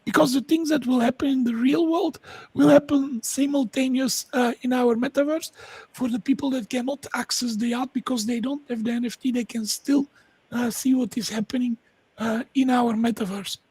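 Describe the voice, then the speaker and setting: High-pitched Flemish woman, dry sound. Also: steady tone, normal speed, warm tone, slightly rough, dry recording, rough recording. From Belgium. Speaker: podcast guest, tech entrepreneur. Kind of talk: conversational pitch of own projects.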